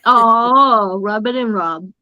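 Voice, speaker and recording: Woman, 60s, Boston accent, teasing, wisecracking, fairly high voice, dull lo-fi call audio. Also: slow, no room sound. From Massachusetts. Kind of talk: casual chat.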